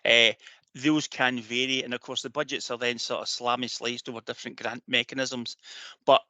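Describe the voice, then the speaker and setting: A man's voice: mid-range voice, flat delivery, normal speed, sharp tone, dry recording. A man answering webinar questions, stammering and restarting his sentences. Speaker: agency presenter. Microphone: video call.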